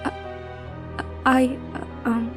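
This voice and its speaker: A woman's voice: sits high, level tone, drawn-out words. Woman playing an earnest, self-doubting young princess. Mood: hesitant. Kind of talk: fan audio drama, first-person narration and dialogue. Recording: music bed under the voice.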